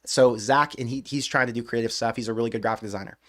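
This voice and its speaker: A man hosting a live stream, chatting casually in a rambling, excitable way with mild swearing. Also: mid-range voice, fast. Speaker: live-stream host of a fan channel.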